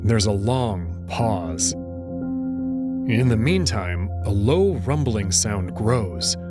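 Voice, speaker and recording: Man narrating dramatically, expressive intonation. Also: fairly low pitch, very slow, loud music behind the voice. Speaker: narrator and reader. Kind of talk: dramatic first-person story narration.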